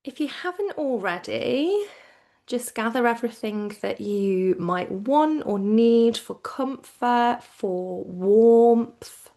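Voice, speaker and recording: A woman teaching yoga nidra as a guided relaxation, soothing and hushed, with phrases that trail toward a whisper. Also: on the high side, expressive, takes it slowly.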